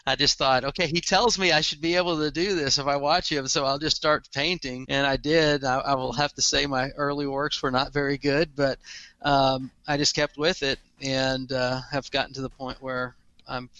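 A man's voice: medium pitch, level tone, normal speed, bright, forceful tone, clear, resonant tone, dry recording, highs cut off. A middle-aged man with a Texan accent, a podcast guest chatting casually and chuckling often as he talks. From Texas. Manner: easygoing, chuckles often, repeats words. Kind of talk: casual interview chat.

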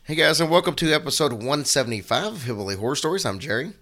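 A man co-hosting a podcast, hoarse and raspy from a cold, talking in an earnest, rambling way. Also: a little high, expressive, fast, bright, forceful tone, faint music behind. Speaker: podcast co-host. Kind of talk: casual chat.

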